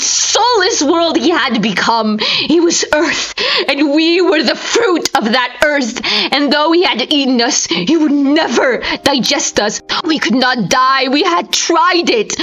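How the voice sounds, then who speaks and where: High-voiced woman, slow dramatic narration. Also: a bit bright, rough recording. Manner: intense, theatrical, swinging into whispers and anguished cries. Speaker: narrator reading a short story aloud. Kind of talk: dramatic horror audiobook narration. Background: music.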